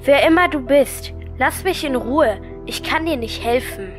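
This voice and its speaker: A woman's voice: high voice, expressive, a little slow, faint music behind. A woman playing a streetwise homeless girl in an audio drama, curt and dismissive. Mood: defiant, wary.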